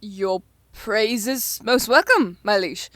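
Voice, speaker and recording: Actress as a nervous bookish young lord, lively, dry close mic. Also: female voice, medium pitch, takes it slowly, no room sound. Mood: formal. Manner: flustered, formal. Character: young nobleman heir, anxious and bookish.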